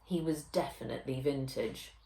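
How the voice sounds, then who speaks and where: A woman reading a children's novel aloud as its author, in a measured, expressive storytelling way. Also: extremely low pitch, gravelly. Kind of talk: children's audiobook narration. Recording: roomy echo.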